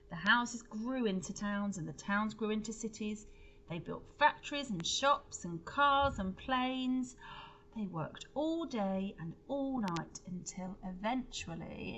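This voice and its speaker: A woman reads a children's story aloud in the manner of a teacher. Her pace is slow and her pitch is medium, and she breaks off to explain or question her listeners. Faint noise sits behind her. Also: fairly bright tone, low-quality recording. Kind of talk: expressive children's storybook read-aloud with asides.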